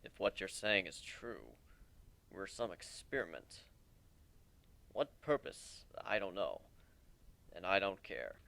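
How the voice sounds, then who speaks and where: A man voicing a brooding, world-weary male warrior in a story, grave, terse and deliberate. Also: low-pitched, very animated, vocal fry, bright, forceful tone, voice pushed down, full character voice. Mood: weary, cold.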